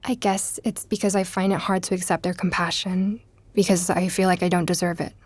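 An actress playing a guilt-ridden young woman podcaster, narrating an audio drama as an intimate, halting, reflective confession. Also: on the low side, level tone, darkish tone, no echo, close to an everyday voice. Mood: remorseful. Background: music.

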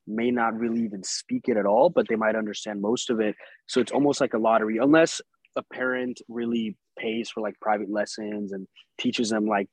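A man's voice: mid-range voice, a little mellow, husky, dry recording, poor audio. A young man from Colombia hosting a podcast, asking long, rambling questions that often stumble. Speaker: podcast host. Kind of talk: casual interview conversation.

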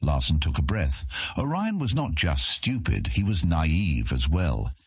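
A man reads a fantasy story as narrator. His voice is low and husky with animated rises and falls, captured close in a dry studio sound. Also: measured pace, dry recording. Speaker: audiobook narrator.

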